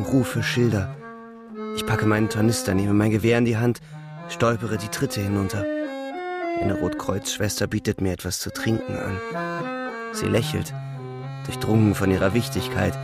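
An actor playing a weary young German soldier home on leave, narrating a radio drama in a measured, intimate, brooding monologue. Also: male voice, fairly low pitch, gravelly. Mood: tense, hushed. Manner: brooding, measured, short clipped phrases.

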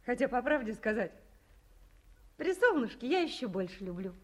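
A woman's voice: on the high side, big pitch swings, normal speed. An actress playing a timid, eager nineteen-year-old girl in a 1950s radio play. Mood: shy eager. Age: young adult. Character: shy, bright young woman of nineteen.

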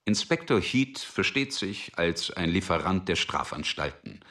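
Very deep-voiced male narrator. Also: big pitch swings, unhurried, bright, forceful tone. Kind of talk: scripted narration of a radio drama.

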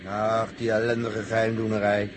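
A man's voice: on the low side, completely flat delivery, normal speed, lightly acted. An actor playing a grumbling male crew member in a 1960s radio drama. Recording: noisy 1960s radio recording.

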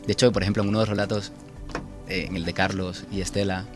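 Cuban man, deep voice, hesitant radio guest, faint music underneath. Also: little pitch movement, quick pace. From Cuba. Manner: hesitant, repeats words, laughs often. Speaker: author guest. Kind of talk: radio interview chat.

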